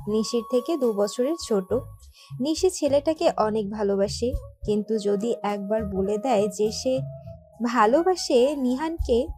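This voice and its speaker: A woman's voice: on the high side, a little mellow. A woman reading scripted narration for a romantic story. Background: background music.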